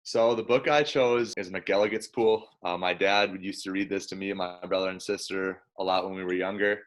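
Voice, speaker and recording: A man's voice: sits low, fairly even intonation, a little fast. A man in his early twenties who speaks casually, as a guest chatting or reading a children's storybook aloud. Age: early 20s. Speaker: college basketball player, guest. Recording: dull lo-fi sound.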